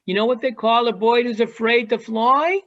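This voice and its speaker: A woman acting as a teasing, worldly adult seagull in an amateur virtual one-act play, with drawn-out delivery. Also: a little high, natural voice. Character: teasing, worldly adult seagull perched high above the city. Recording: dull lo-fi video call audio.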